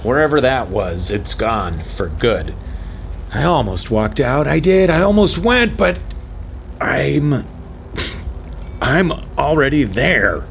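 An actor playing a weary, resigned male radio host who talks haltingly and broodingly in an audio drama. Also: medium pitch, sing-song, gravelly, slight background noise, heavily acted. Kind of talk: audio drama monologue as a live radio broadcast. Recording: dull band-limited broadcast sound under music.

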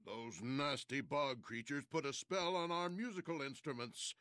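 A man voicing an excitable young sailor musician in a children's game, with plaintive, exaggerated delivery. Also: mid-range voice, moderate pace, no echo, studio quality, close to an everyday voice. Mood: dismayed. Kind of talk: scripted children's game dialogue.

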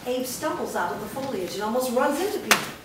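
A woman's voice: a little high, animated, fast talker. A woman reading stage directions as narrator at a staged play reading. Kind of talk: scripted narration. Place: indoor performance room, seated before an audience. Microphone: far camera. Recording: distant mic, very echoey noisy room.

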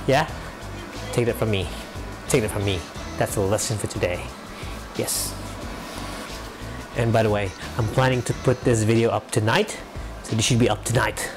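A young man with a Filipino accent chatting casually to the camera while he cooks in his home kitchen, making joking asides. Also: lowish voice. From Philippines. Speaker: vlogger. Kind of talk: casual chat while cooking.

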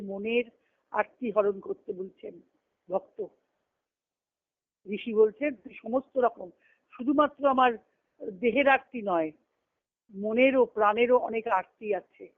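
A woman's voice: fairly high pitch, no room sound. A woman giving a devotional scripture discourse as a spiritual teacher over a conference call, explaining phrase by phrase with repetition. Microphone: conference call line. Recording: muffled lo-fi conference-call phone audio.

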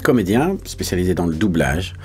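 A man's voice: sits low, big pitch swings, fairly quick, darkish tone, husky. A man, a voice actor talking casually in an interview, colloquial and searching for his words. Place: small recording studio.

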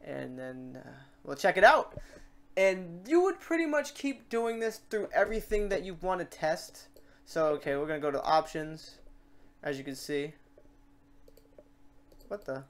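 A man hosting a casual software tutorial, informal and rambling, thinking aloud as he works. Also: fairly high pitch. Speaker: tutorial host. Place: indoors at a computer.

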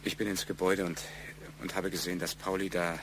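Defensive, hesitant man, fairly low-pitched, evasive under questioning in a radio crime drama. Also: little pitch movement, fast talker, a bit bright, a little hiss or hum, rough recording. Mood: halting confession.

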